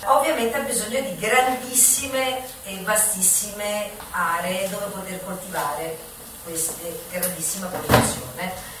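Echoey, distant woman introducing a show. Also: fairly low pitch. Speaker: stage performer introducing a show. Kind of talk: informal spoken introduction to an audience. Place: echoing performance space, recorded from afar. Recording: very echoey, distant mic, noisy room.

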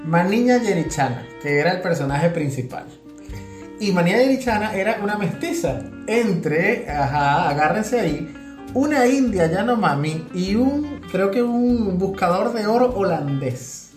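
A woman's voice: mid-range voice, animated, measured pace, darkish tone. A Venezuelan woman chatting casually as a podcast co-host, explaining things and often repeating words as she goes. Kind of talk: casual chat. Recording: roomy echo, guitar music behind. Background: guitar music.

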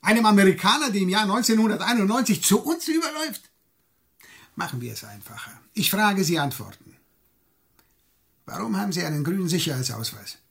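High, raspy, scornful male KGB interrogator. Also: animated, fairly quick, fairly bright tone, full character voice. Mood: impatient pressure. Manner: mocking, commanding. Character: impatient, scornful KGB interrogator running an informant. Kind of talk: German radio drama acting.

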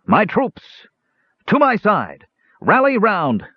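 Man playing a loud, pitched-up warlike leader. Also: huge pitch swings, slow, slightly rough, no room sound, dull audio, full character voice. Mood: rallying. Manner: loud, declamatory. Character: big warlike man, crazed army leader rallying troops.

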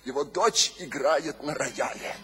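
An actor playing a weary, despairing male poet, brooding and halting, in a radio drama. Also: on the high side, bright, forceful tone. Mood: weary, bitter. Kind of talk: theatrical radio drama acting. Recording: music behind the voice. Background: music.

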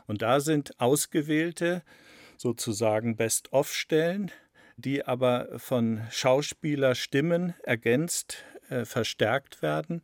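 A man explaining his work in an interview, deliberate, often repeating and rephrasing for emphasis. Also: medium pitch, vocal fry, darkish tone, no echo, clean studio sound. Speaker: radio play author interviewed about his work.

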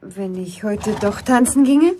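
A young woman playing a pert, impulsive city girl in a German radio drama, frank and questioning, with a husky voice. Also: medium pitch, expressive, measured pace, mellow, a little hiss or hum, lightly acted. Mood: coaxing.

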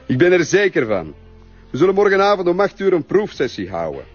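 An actor playing a sly, flattering Flemish photographer who coaxes in a TV crime drama. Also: male voice, high-pitched, a little fast, husky, exaggerated voice. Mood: persuasive, sly. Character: smooth-talking, flattering male photographer, sly and coaxing. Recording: dull TV soundtrack, music behind.

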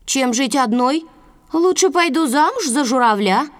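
A woman's voice: sits high, lively intonation, a little slow. A woman voicing a haughty, fickle heron in a folk tale, with emphatic storybook delivery. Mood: regretful.

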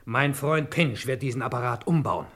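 An actor playing a scheming male gangster who talks calmly and persuasively in a 1950s German radio comedy. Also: mid-range voice, normal speed. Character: smooth-talking scheming gangster pitching an idea.

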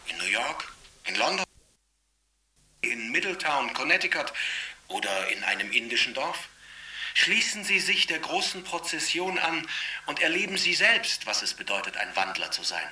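A man's voice: medium pitch, big pitch swings, moderate pace, bright, forceful tone, distant mic. An actor playing a fervent young cult preacher on a television broadcast in a radio drama, rousing and solemn with his slogans. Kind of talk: propagandist sermon in radio drama.

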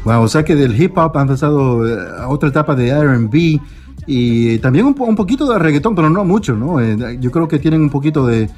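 A man's voice: on the high side, expressive, a little fast, darkish tone, faint music behind. A man hosting a radio interview, enthusiastic and hesitant, with many fillers and restarts. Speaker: radio host.

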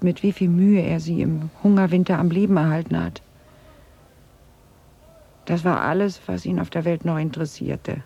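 An actress playing a haunted middle-aged woman in a German radio drama, confiding grave family guilt in a halting, husky voice. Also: fairly low pitch, mellow, heavily acted. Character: haunted Dutch woman confessing her family's wartime guilt. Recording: slightly dull 1980s radio recording.

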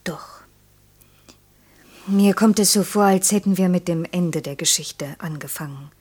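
A young woman with a lowish, extremely breathy voice that sinks toward a whisper. She sounds shy, lovelorn and quietly insistent, answering in hushed, brief phrases in radio drama style. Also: unhurried. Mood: subdued, wistful. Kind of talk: German radio play acting.

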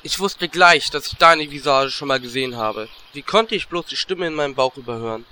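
A man's voice: mid-range voice, fairly quick, sharp tone, a little hiss or hum. A man playing a cheeky, fearless little gnome warlock in a fantasy parody audio drama, brash and teasing. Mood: indignant.

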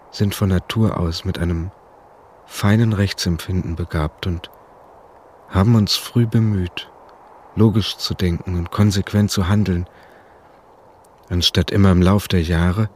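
An actor playing a doomed young bank robber, formally reading out a bitter, self-righteous farewell in a radio drama. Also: male voice, low-pitched, slight background noise.

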